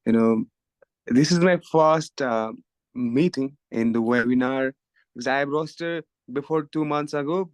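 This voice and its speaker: A man's voice: mid-range voice, clean tone, no room sound, low-quality recording. A man with a Nepali accent giving an informal self-introduction on an online call, hesitant and phrase by phrase. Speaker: participant, psychosocial counselor.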